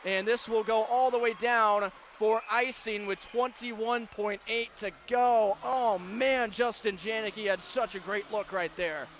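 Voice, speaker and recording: A man calling hockey play-by-play on radio, firing off clipped calls of each pass and shot and erupting into shouted goal calls. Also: sits high, fairly even intonation, unhurried, few pauses, a little hiss or hum. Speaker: play-by-play announcer.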